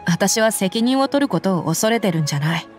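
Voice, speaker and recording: A woman's voice: medium pitch, animated, brisk, lightly acted. A woman playing a tough young female mercenary bodyguard in an anime game, frank and loyal, speaking plainly over music. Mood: conflicted, earnest. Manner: rough, frank speech turning polite. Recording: background music behind voice.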